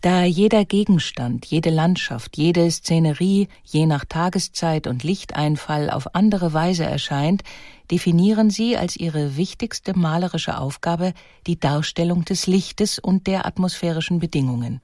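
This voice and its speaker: A woman's voice: lowish voice, unhurried, mellow, no echo, clean studio sound. A woman reading scripted documentary narration in an articulate, composed manner.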